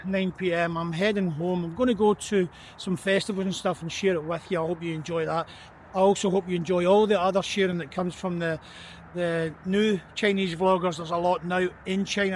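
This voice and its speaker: A man's voice: sits high, steady tone, a little fast, slight background noise. A man talking thoughtfully as he walks outside at night, often repeating words as he gathers his thoughts. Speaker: vlogger. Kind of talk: reflective walking vlog. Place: outdoors on a city street at night.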